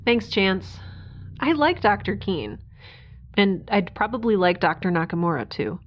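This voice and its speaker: A woman's voice: medium pitch, animated, a little slow, highs cut off, slight background noise, lightly acted. A woman playing a defiant young fugitive in an audio drama, sarcastic and conversational. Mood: wry.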